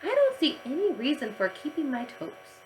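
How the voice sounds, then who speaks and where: Woman as Leslie, an animated, stubborn little schoolgirl. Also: high-pitched, moderate pace, slight background noise, rough recording. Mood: earnest. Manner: earnest, insistent.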